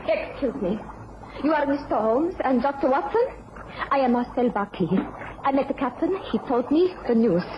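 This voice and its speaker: Radio widow: French accent, proud, formal, fearless, slightly high pitch over noisy sound. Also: female voice, animated. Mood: demanding. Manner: formal, deliberate, intense. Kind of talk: radio drama acting. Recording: noisy, dull lo-fi radio recording.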